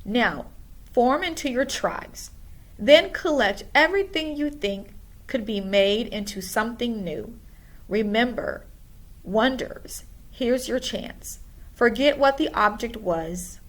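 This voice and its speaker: Woman reader as a slow, encouraging teacher. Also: a little high, animated. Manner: earnest, encouraging. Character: kind, wistful woman teacher inspiring her pupils.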